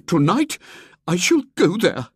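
A woman voicing a pompous, stern elderly spinster who declaims loudly with a tremble of eagerness. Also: fairly low pitch, very animated, takes it slowly, husky, no echo, clean studio sound, voice pushed up, heavily acted. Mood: solemn, eager.

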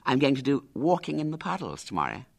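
A man's voice: mid-range voice, huge pitch swings, fast, gravelly, exaggerated voice. A man doing a pompous spoiled little boy. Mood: boastful. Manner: haughty, self-important.

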